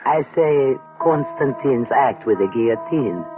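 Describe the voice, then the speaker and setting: An actor playing a knowing, mournful old theater dresser in a radio drama, insinuating, with foreign-sounding phrasing. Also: male voice, medium pitch, animated, unhurried, natural voice. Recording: muffled old radio, faint music.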